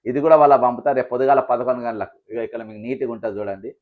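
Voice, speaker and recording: A man's voice: mid-range voice, very fast. Telangana man, thin phone-like sound. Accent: Telangana Telugu. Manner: critical, listing grievances point by point. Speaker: political activist hosting his own channel. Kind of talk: political commentary addressed to viewers.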